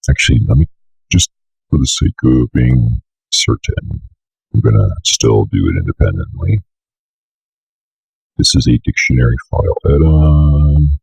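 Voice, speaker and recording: A young man giving a casual, unscripted software walkthrough, offhandedly muttering to himself as he works, with a gravelly, raspy voice. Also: extremely low pitch, no echo, poor audio. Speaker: tutorial host.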